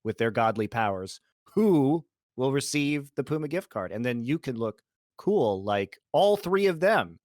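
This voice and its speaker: A man hosting an esports stream show, playful and quick to laugh while reading promos and asking questions. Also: mid-range voice, big pitch swings, darkish tone, no room sound, clean studio sound. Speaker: host.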